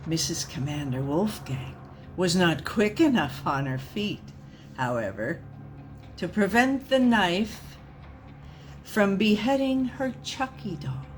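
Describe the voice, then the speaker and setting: Slow-paced woman telling a mock-serious story. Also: low voice, slight background noise. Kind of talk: slow dramatic comic storytelling.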